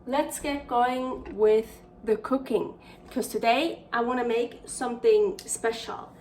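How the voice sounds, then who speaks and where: A woman's voice: a little high, fairly even intonation, slow, a little mellow, distant mic, a little hiss or hum. A young woman from Switzerland with a Swiss accent, hosting a casual cooking vlog in her home kitchen, chatting in a rambling way with many fillers and restarts. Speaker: cooking show host.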